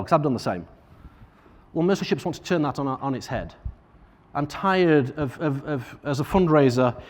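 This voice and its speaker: A man with a Northern English accent giving an informal, somewhat halting conference keynote as a charity partnerships manager. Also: a little high. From Northern England. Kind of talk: conference keynote speech to an audience. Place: large conference room, on stage. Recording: echoey hall reverb.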